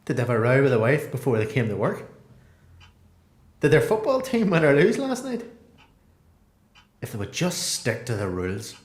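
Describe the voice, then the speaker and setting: A roomy radio-play recording of a male Belfast prisoner , acted by a man. His mid-pitched voice rises and falls widely as he sets out each phrase deliberately, like a frustrated man. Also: wildly swooping pitch. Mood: exasperated. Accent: Belfast Northern Irish. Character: frustrated male prisoner who craves consistency. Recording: roomy echo.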